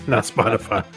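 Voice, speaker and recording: A man's voice: fairly low pitch, gravelly, a bit bright. A man co-hosting a podcast with enthusiastic, easygoing, casual banter. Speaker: podcast co-host. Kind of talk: casual chat.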